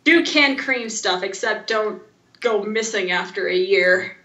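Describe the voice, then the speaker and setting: A woman co-hosting a podcast in casual chat, blunt, sardonic and animated. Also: medium pitch, unhurried, reverberant, lo-fi sound. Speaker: podcast co-host.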